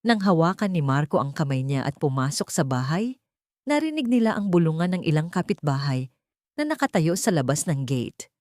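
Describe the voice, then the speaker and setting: A woman storyteller narrates a scripted drama in a low voice. Her tone is dark and mellow, recorded close and dry. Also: very animated, moderate pace, no room sound.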